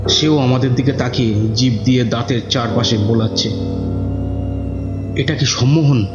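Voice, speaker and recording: A man narrating a scripted horror story in the first person, with dramatic suspense. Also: mid-range voice. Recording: roomy, muffled audio with music.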